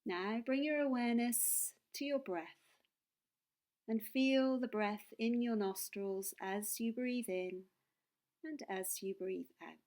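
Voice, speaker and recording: Slow, calming woman guiding meditation. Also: on the high side. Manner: calm, soothing, deliberate with pauses. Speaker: meditation and yoga teacher. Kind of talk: guided meditation.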